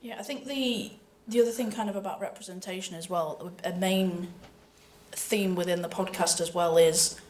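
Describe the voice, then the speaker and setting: A woman with a slightly low voice speaks as a guest on a festival panel. She talks conversationally and earnestly, in a personal way, as a performer would about her own work. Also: steady tone, normal speed, darkish tone, slight background noise. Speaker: voice actor and panellist.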